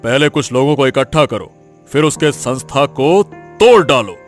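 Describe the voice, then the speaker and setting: A man's voice: medium pitch, expressive, moderate pace, soft background music, natural voice. Man voicing a menacing, scheming village strongman. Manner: commanding, threatening.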